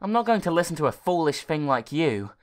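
A man voicing an arrogant young schoolboy who talks back loudly and defiantly. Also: on the high side, sing-song, pitched above the performer's own voice. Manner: defiant, quick and loud.

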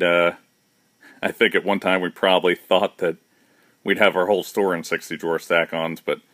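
Deep-voiced man touring a warehouse. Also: no echo, studio quality. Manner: relaxed, matter-of-fact, with fillers and restarts. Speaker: shop owner giving a warehouse tour. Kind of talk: casual walkthrough narration. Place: large indoor warehouse with shelving. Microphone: handheld phone camera, speaker behind it.

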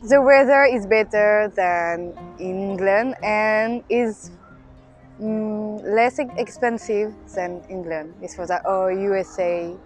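A woman's voice: mid-range voice, takes it slowly, faint music behind. A woman giving casual interview answers in short, hesitant phrases. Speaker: interviewee, language student.

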